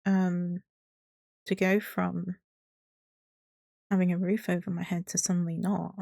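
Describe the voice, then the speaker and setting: A woman's voice: on the low side, level tone, a little slow, gravelly, warm tone, no echo, studio quality. A woman giving an unscripted personal update to her followers in a candid, rambling, hesitant way. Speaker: streamer and video creator.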